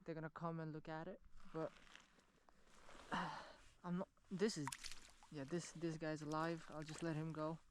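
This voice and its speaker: A man, an angler talking on the spot outdoors by a lake, sighing and speaking softly to the fish he frees. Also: a little high, level tone, unhurried, a little hiss or hum. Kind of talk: on-the-spot vlog commentary.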